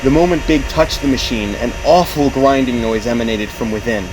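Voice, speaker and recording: A man's voice: medium pitch, a little fast, background noise. A man reading scripted story narration as an audiobook narrator, in a dramatic storytelling manner. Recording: music behind the narration.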